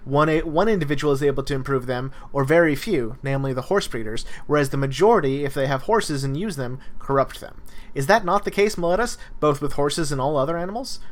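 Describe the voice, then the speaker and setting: A man's voice: on the high side, natural voice. A man playing an indignant philosopher cross-examining his accuser with pointed rhetorical questions, reading a dialogue aloud. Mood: ironic, accusing.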